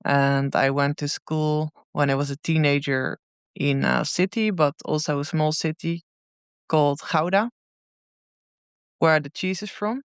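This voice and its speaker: A woman in her thirties with a Dutch accent answers interview questions, her voice very clear and smooth. She speaks in a thoughtful, unhurried way, pausing often. The sound is dry and close. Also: sits low, fairly even intonation, no echo. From Netherlands. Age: 30s. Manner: thoughtful, unhurried, many pauses. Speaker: guest musician.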